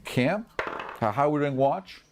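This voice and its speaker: A man's voice: fairly high pitch, lively intonation, normal speed, background noise. A man acting as game master, narrating casually and improvising in blunt, informal, sweary speech.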